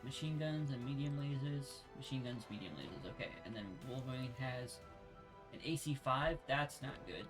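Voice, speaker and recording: A man's voice: medium pitch, animated, moderate pace. A man hosting a let's play, giving casual gameplay commentary as he thinks aloud. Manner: thinks aloud while playing. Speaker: let's play host and player. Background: game music.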